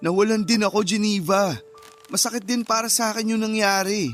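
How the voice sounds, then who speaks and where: Radio drama role: worried, pleading husband, fairly high male voice, earnest, music underneath. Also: steady tone, fairly quick, a bit bright. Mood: frustrated, hurt. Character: grieving young husband, patient, worried, pleading. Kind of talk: Filipino radio drama acting.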